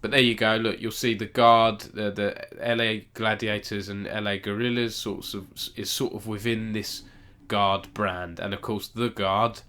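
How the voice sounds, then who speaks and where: A man with a lowish voice and a stuffy, nasal tone from a cold. He hosts a relaxed live-stream news roundup, rambling, correcting himself and chatting with viewers as he goes. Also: unhurried, hardly any pauses. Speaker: esports news host.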